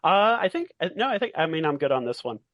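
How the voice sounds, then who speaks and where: Higher-voiced casual man, dry sound. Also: very animated, fast talker, a bit bright, dry recording, lo-fi sound. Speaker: podcast panelist. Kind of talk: casual chat.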